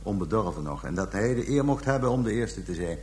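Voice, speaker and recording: An actor playing a callous pimp making a halting, coarse confession to police in a radio drama. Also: male voice, fairly low pitch, expressive, a little mellow, a little hiss or hum, lightly acted. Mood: cold, self-justifying. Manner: halting, hesitant storytelling, coarse slang. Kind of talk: radio drama acting, interrogation scene.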